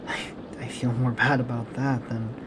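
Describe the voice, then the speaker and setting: Slow, halting, guilt-ridden boyfriend played by a man. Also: mid-range voice, little pitch movement, noisy background, close to an everyday voice. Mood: guilty, hesitant. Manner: hesitant, halting, apologetic, soft-spoken. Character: gentle, guilt-ridden serial killer boyfriend and father. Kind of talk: intimate ASMR boyfriend roleplay.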